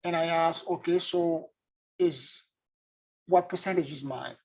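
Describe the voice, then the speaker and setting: A man's voice: a little high, a little slow, rough recording. A man from Haiti, a spiritual teacher, giving an earnest, introspective monologue and searching for his words as he goes. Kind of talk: reflective spoken monologue.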